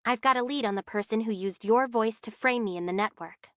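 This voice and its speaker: Woman as a composed, higher-voiced young heroine. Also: a little fast, fairly bright tone, no echo, clean studio sound, lightly acted. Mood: composed, businesslike. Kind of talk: English anime game voice acting.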